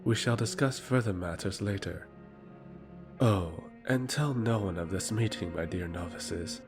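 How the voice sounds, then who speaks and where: Male, low and unhurried: actor as silky, patronizing, menacing noble emissary, fantasy audio drama, music underneath. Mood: veiled threat. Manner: formal, insinuating, patronizing.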